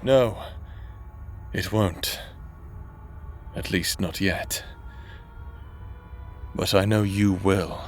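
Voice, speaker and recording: A man voicing a menacing, scheming male necromancer in a roleplay audio drama, speaking in a calm, threatening, theatrical way. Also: deep voice, extremely slow, long pauses, faint music behind, exaggerated voice.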